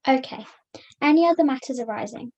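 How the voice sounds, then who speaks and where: A woman acts a puppet in charge of a committee in a comedy show. The voice is high, the manner measured, courteous and formal. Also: a little mellow, muffled recording. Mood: businesslike. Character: polite, orderly puppet chairing a meeting. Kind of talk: scripted puppet comedy dialogue.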